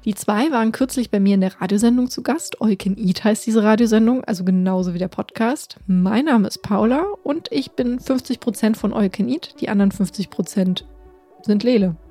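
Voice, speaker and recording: A woman's voice: medium pitch, fairly quick, mellow. A woman hosting a radio show and podcast, talking casually with fillers and restarts and poking fun at herself. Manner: chatty, self-deprecating, with fillers and restarts. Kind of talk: casual radio intro and interview. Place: radio studio.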